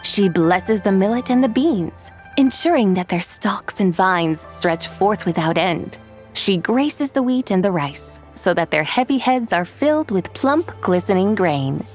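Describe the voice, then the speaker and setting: A woman's voice: mid-range voice, animated, faint music behind, lightly acted. A woman voicing a regal, youthful-seeming ancient immortal who speaks formally and haughtily in a video game. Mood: lofty, reverent. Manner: formal, haughty, measured recitation. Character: lofty ancient female immortal in youthful form, curious, regal. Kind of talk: video game cutscene acting.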